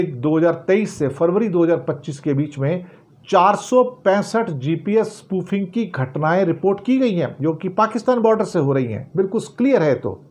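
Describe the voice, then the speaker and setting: A man presents news commentary in a somewhat high-pitched voice. He explains things directly to the viewer with emphasis. He leans on rhetorical questions and restates key phrases. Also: darkish tone.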